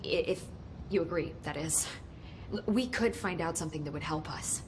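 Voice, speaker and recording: A woman's voice: deep voice, moderate pace, close to an everyday voice. An actress playing an earnest, curious woman scientist in a video game cutscene. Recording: game cutscene with clear ambient noise.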